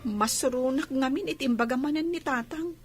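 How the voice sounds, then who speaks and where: A woman's voice: high-pitched, brisk, faint music behind, lightly acted. A young woman playing a reluctant, protesting daughter in an Ilocano audio drama. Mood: complaining.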